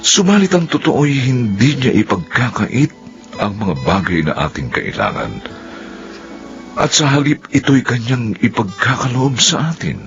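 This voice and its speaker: A man narrating a radio drama in a solemn, deliberate, dramatic style. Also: medium pitch, huge pitch swings, fairly bright tone. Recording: muffled radio broadcast with music.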